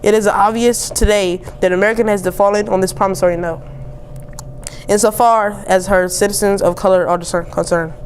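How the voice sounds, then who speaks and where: A young teenage girl reads a formal speech aloud as a student would. Her pitch is middling for a female voice, and there is faint ambient noise behind her. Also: steady tone, brisk. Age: young teen, 7th grade student. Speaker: student reader. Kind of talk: reading a famous speech aloud.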